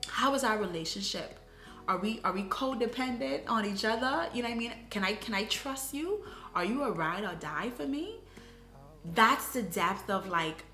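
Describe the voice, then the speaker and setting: A woman's voice: mid-range voice, fairly bright tone, roomy sound. A woman, earnestly telling her personal story in a casual vlog and often tossing in "right?". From Bahamas. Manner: reflective, earnest, frequent "right?" asides. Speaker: vlogger.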